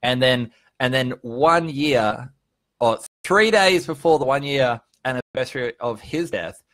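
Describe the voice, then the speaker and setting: A man in his early thirties hosting a casual motivational livestream. His voice sits at an average male pitch and is recorded dry and close. He talks informally and earnestly, leaning on points and swearing freely. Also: a little slow, fairly bright tone, dry recording. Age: early 30s. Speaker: vlogger hosting a live stream. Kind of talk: casual motivational livestream chat, answering viewers.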